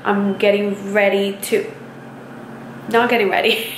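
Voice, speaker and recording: A young woman and mother chatting casually to the camera in her home kitchen, relaxed and a little rambling. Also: medium pitch, unhurried, slight background noise, poor audio. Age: young adult. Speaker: vlogger, mom.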